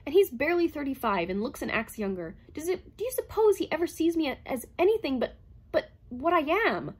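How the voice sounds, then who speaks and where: Lonely bitter woman psychologist, late thirties read by a woman; breathless, tense, husky. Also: mid-range voice, normal speed, lightly acted. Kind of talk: audiobook character reading.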